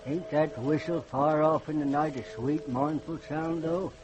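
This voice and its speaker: An actor playing an old American hobo, genial and folksy, rambling in colloquial speech in a 1940s radio drama style. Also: male voice, fairly high pitch, fairly even intonation, measured pace, lightly acted. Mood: chatty, easygoing. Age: older. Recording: noisy vintage radio recording.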